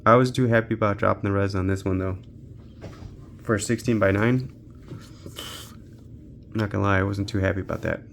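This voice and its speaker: A man with a somewhat deep voice full of vocal fry gives a casual, unscripted gaming walkthrough. He thinks aloud informally as he goes. There is noticeable noise behind his voice. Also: moderate pace, pauses often. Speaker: tech reviewer hosting a handheld gaming channel. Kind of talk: unscripted casual walkthrough commentary while testing games.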